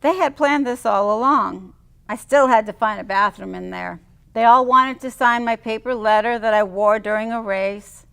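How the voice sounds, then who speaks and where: A woman reading her own personal writing aloud and chatting about it in a reflective, unhurried way. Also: fairly high pitch, gravelly, a bit bright, clear, resonant tone. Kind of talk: spoken reading of personal memoir and reflective chat.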